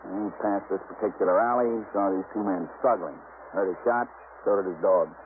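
A beleaguered American homicide cop played by a male actor grumbles in a lowish voice on an old radio show. He is gruff and defensive, and the recording is thin and narrow with faint noise. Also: brisk, close to an everyday voice. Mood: grudging report. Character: beleaguered, grumbling male New York homicide police lieutenant. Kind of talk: 1950s radio drama acting.

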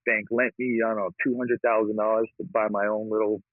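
A man's voice: fairly low pitch, fast, no echo. Upbeat man on a tinny phone line. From Hawaii. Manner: upbeat, laughs often, energetic. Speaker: guest, real estate investor. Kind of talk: casual interview talk.

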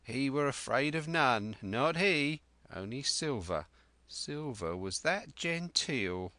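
A man voicing a half-wild old male castaway who speaks in a rough sailor's dialect, eager and cunning. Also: medium pitch, lively intonation, takes it slowly, sharp tone, no echo, pitched above the performer's own voice.

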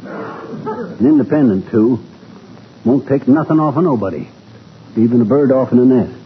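A man's voice: medium pitch, normal speed, close to an everyday voice. A man playing a folksy, blustery middle-aged husband who jokes and spins yarns in a 1940s radio comedy. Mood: puzzled, grumbling. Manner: folksy, colloquial, chuckling. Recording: tinny, noisy old radio recording.

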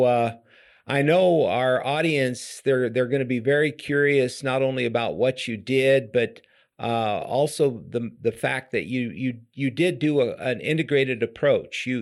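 A man in a middle-range voice who hosts a health podcast interview as a doctor. He talks slowly and hesitantly, with many fillers and restarts. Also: medium pitch. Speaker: podcast host, physician. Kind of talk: interview hosting.

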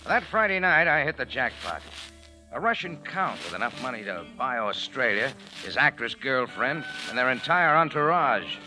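An actor playing a sardonic American cafe owner, narrating in slangy, dry style for a vintage radio drama. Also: male voice, medium pitch, lightly acted, low-quality recording. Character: wry, hard-boiled American male cafe owner. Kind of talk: 1940s radio acting, first-person narration.

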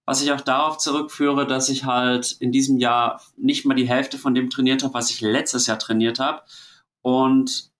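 A man from Germany hosting a casual spoken travel diary, relaxed and rambling, talking while he has a cold and is worn out. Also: medium pitch, normal speed. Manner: relaxed, rambling, chatty storytelling. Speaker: host of a travel diary podcast.

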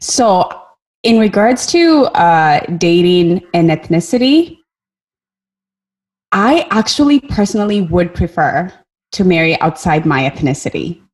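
A woman's voice: fairly low pitch, very animated, unhurried, darkish tone. A woman from Kenya speaking candidly in a group video-call discussion, thinking aloud and sometimes searching for words. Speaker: discussion participant.

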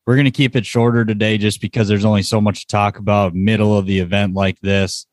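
A man's voice: fairly low pitch, little pitch movement, brisk, no echo, studio quality, faint music behind. A man hosting a casual sports podcast, chatting informally about results and what is coming up. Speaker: podcast host.

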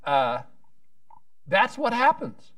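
A man's voice: very high-pitched, huge pitch swings, slow, a bit bright, dry recording, clean studio sound, distant mic. Rambling man on a policy panel. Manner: rambling, restarts often, wry asides.

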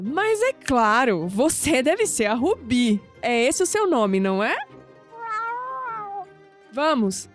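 An actress playing a young, proud, skeptical woman in an audio drama, with a Brazilian accent and an expressive delivery that turns hesitant when flustered. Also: on the high side. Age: young adult.

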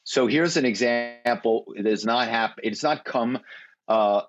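A man speaking as a cybersecurity guest, explaining with confidence and telling stories in steady detail. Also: mid-range voice, a little slow, highs cut off, faint music behind. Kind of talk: explanatory interview talk.

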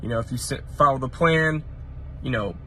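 A man's voice: medium pitch, normal speed, bright, forceful tone, slight background noise. A man giving a matter-of-fact customer testimonial.

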